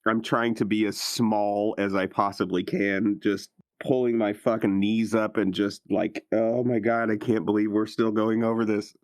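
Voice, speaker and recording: A man playing a tabletop game, chatting in character in a casual, halting, comically exasperated way. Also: on the low side, level tone, darkish tone, no room sound, clean studio sound. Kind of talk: casual improvised role-play chat.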